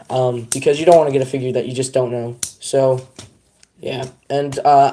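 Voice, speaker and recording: A man's voice: mid-range voice, mellow, slight background noise. A young man chatting casually on a live stream, rambling and self-deprecating as he talks to his viewers.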